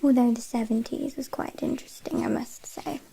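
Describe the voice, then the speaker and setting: A British woman playing a haughty, condescending vampire kidnapper in a breathy, whispered ASMR roleplay, with a dryly teasing manner. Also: on the high side, steady tone, mellow. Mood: haughty, amused. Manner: slow, disdainful, dryly teasing.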